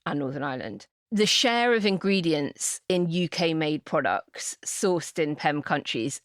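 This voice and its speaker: Low-voiced woman explaining policy. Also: lively intonation, measured pace, dry recording, studio quality. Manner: explanatory, frank. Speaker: panelist and policy commentator. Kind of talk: informal political discussion.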